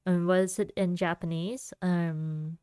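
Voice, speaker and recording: Young woman podcast host, slow rambly chat, dry close mic, laughs a lot. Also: on the low side, fairly even intonation, dark tone, clean tone, dry recording. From United States. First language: Vietnamese. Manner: laughs often, rambling with many fillers. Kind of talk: casual solo podcast chat.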